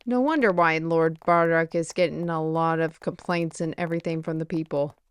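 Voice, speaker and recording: A woman's voice: deep voice, steady tone, moderate pace, clear, resonant tone, natural voice. A woman playing a wry, chatty, worldly mage in a fantasy audio drama, musing aloud in a theatrical manner. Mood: wry, exasperated. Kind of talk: fantasy audio roleplay acting.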